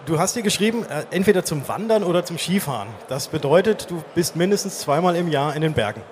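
A man's voice: fairly high pitch, lively intonation, fast talker. A man hosting a casual interview on stage at a live event. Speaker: interview host. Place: live stage at a trade fair. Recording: faint background noise, live event.

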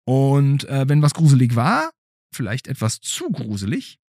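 A man hosts a casual podcast. His voice has a slightly rough, husky grain and moves animatedly up and down in a close, dry sound. He talks playfully, with pauses and questioning asides. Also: mid-range voice, wildly swooping pitch, darkish tone, no room sound. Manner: playful, hesitant phrasing with rhetorical tag questions. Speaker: podcast host. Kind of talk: casual conversational podcast interview.

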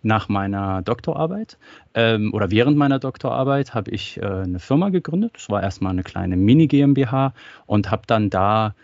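A man with a lowish voice talks as a podcast guest, halting often and restarting his sentences. The sound is dry and close, with a slightly dull, lo-fi tone. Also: expressive, vocal fry, a little mellow, no echo. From Germany. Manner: hesitant, many fillers and restarts. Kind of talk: casual interview chat.

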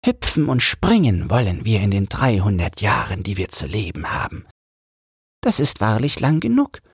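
A man voicing a wise, resigned old mermaid grandmother in a thin, breathy falsetto, slow and instructive, for a fairy-tale audiobook. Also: wildly swooping pitch, no room sound, studio quality, exaggerated voice. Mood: resigned cheer. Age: older.

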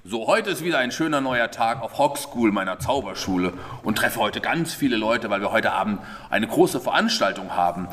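A man's voice: fairly low pitch, expressive, normal speed, hardly any pauses, fairly bright tone. A man hosting an improvised audio play as head of a magic school, interviewing children and echoing their answers encouragingly. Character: cheerful head of a wizard school. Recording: echoey hall, faint background noise.

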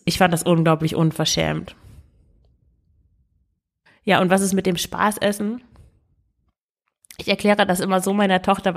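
A woman with a cold talks through money-saving tips as a podcast host, addressing the listener directly in a relaxed, chatty way. Her voice sits a little low for a woman. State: has a cold.

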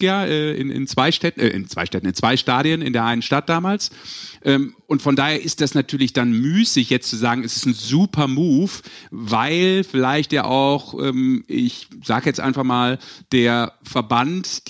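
A man's voice: mid-range voice, animated, moderate pace. A man hosting a sports podcast, talking casually and colloquially, mixing in English slang. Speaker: podcast host. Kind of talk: casual discussion on an ice hockey show.

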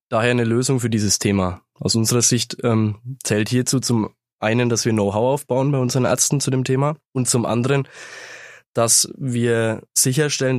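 A man with a slight cold talks through a business topic at an even, measured pace, often tagging his points with a quick "ne?". His voice sits at an ordinary middle pitch. The studio sound is close and dry. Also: no room sound. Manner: measured, explanatory, with "ne?" tags. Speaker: sales manager, interview guest. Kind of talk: business interview answers.

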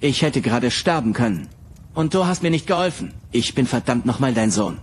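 A man's voice: mid-range voice, big pitch swings, brisk, a little hiss or hum, lightly acted. A man playing a whiny, resentful son in a comedy sketch. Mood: indignant. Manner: whiny, indignant.

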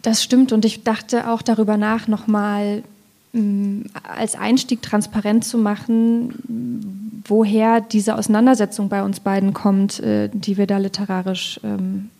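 A woman from Thuringia in Germany speaking as a poet in a literary discussion, thoughtful and halting as she searches for her words. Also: mid-range voice, little pitch movement, creaky, mellow.

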